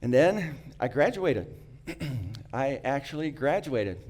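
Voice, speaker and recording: A middle-aged man, not a native English speaker, telling a prepared personal story in an earnest, reflective way, with small restarts and frequent throat-clearing. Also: mid-range voice, sing-song, slow pace, darkish tone.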